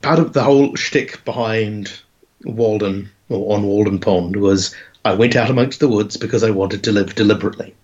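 Croaky man spinning a long idea. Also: on the low side, moderate pace, darkish tone. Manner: rambling, builds ideas with long setups. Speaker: podcast co-host. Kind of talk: casual chat pitching a game idea. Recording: dull lo-fi podcast audio.

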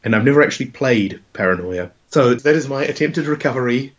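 A man with a croaky voice in casual chat, laying out a game idea with long, rambling setups. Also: mid-range voice, animated, fairly quick. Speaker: podcast co-host. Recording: dull lo-fi podcast audio.

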